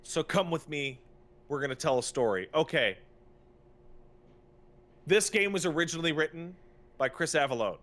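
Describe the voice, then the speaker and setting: A man's voice: a little high, expressive, normal speed, long pauses, fairly bright tone. A man co-hosting a podcast in a casual, opinionated rant, exasperated and emphatic, repeating phrases for effect and swearing freely. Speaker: podcast co-host.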